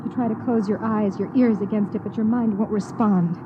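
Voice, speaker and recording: An actress playing a lonely, anxious young wife in 1950s radio drama style, dramatic and deliberate. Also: female voice, mid-range voice, steady tone, background noise, natural voice. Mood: tense, fearful. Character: lonely, anxious young wife narrating her own story. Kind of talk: 1950s radio drama, second-person narration. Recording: dull old radio recording.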